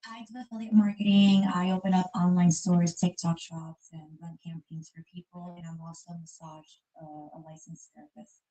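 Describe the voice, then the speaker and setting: Low-voiced and soft, a woman in her thirties who grew up in Colombia answers interview questions. Spanish is her first language. She hesitates and corrects herself as she goes. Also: little pitch movement, fairly quick, dull audio. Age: 30s. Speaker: interview guest.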